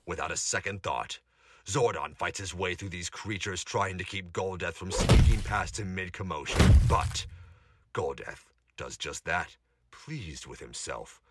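A man's voice: low voice, lively intonation, a little slow. A man narrating a scripted audio drama in a dramatic storytelling style. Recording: noisy home recording with clicks.

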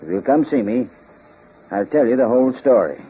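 A man's voice: mid-range voice, animated, fairly quick, natural voice. A man playing a plain-spoken older American police inspector, narrating in a 1930s radio drama. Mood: confiding. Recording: muffled, noisy 1930s radio recording.

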